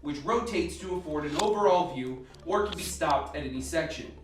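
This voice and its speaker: Man acting a measured scientist in an echoey room. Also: a little high, animated, natural voice. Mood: matter-of-fact briefing. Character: calm, instructive male scientist leading a secret lab team. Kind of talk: amateur radio play acting. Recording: echoey room, distant mic, faint music.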